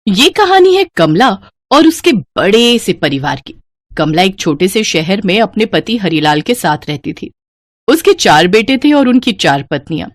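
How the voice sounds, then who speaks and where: A woman's voice: mid-range voice, no room sound. A woman narrating a scripted family moral story as a storyteller.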